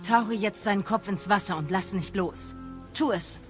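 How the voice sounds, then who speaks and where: An actress playing a stern yet caring witch of the woods in a German audio drama, measured and commanding. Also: mid-range voice, over music, lightly acted. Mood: calm, focused.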